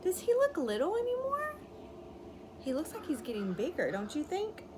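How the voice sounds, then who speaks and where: Lady teacher doing a storytime for little kids, really up and down expressive voice, asks questions, outside w noisy background and wind chime. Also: extremely high pitch, normal speed. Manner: instructive, explains words simply, checks understanding. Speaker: teacher reading to young children. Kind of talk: picture-book read-aloud with questions to children. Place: outdoors. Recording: clearly noisy outdoor ambience, wind chime. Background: birds chirping, wind chime.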